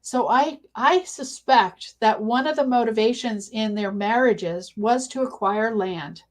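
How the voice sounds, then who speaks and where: Female researcher as interview guest, higher-pitched voice, conversational, thinks aloud with halting phrases. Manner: thoughtful, halting delivery.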